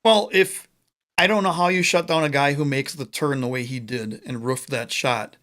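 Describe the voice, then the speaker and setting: A man from the United States co-hosting a casual sports podcast over a remote call, chatty and joking, with quick asides. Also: mid-range voice, a bit bright. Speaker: podcast co-host.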